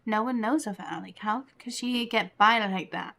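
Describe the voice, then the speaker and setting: A woman's voice: mid-range voice, moderate pace, creaky, sharp tone. A woman streaming a game, chatting casually and informally as she reacts to it. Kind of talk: casual livestream commentary. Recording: faint game music behind.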